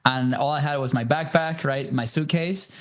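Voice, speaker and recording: A man with a Venezuelan Spanish accent, a native Spanish speaker in his thirties, talks through his past as a podcast guest. His voice sits at a middle pitch for a man. He speaks casually, with frequent "uh"s and restarts. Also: normal speed. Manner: conversational, many fillers and restarts. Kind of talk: casual storytelling interview.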